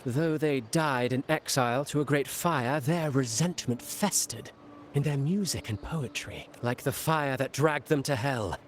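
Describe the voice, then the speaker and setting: Urbane, enigmatic male playwright for a game, voiced by an actor: mid-pitched, theatrical, teasing, over background noise. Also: a little slow, lightly acted. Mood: ominous, dramatic. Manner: theatrical, eloquent, playfully ominous. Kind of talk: scripted video game dialogue.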